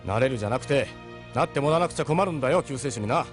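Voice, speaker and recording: A man's voice: medium pitch, expressive, rapid-fire, close to an everyday voice. A man playing a confident, commanding yet courteous ship's captain in an audio drama. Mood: brisk, gruff. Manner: gruff familiar orders, polite to guests. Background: faint music.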